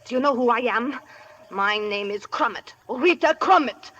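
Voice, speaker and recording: A woman's voice: on the high side, lively intonation, moderate pace, a bit bright, lo-fi sound. A woman playing a desperate, possessive heiress in a vintage radio mystery comedy, with theatrical, formal delivery. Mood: indignant, mysterious. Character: melodramatic, desperate heiress, fearful and fiercely possessive. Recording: noisy old radio broadcast.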